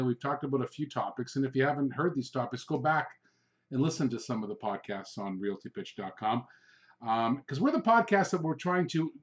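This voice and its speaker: A man whose first language is Hungarian hosts a solo podcast, giving loose, rambling coaching talk with frequent "um" and "all right?" checks. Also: medium pitch. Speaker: podcast host, real estate coach. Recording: dull lo-fi recording.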